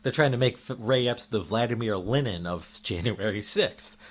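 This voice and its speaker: A man's voice: fairly low pitch, normal speed. A man delivering an indignant opinion monologue as a political commentator, firing off rhetorical questions while losing his voice.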